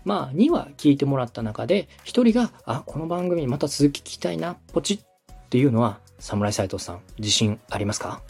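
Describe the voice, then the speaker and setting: Husky, lively male podcast coach. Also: mid-range voice, moderate pace, faint music behind. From Osaka, Japan. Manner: polite, instructive. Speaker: podcast host and coach, radio director. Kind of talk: consulting conversation, explaining step by step.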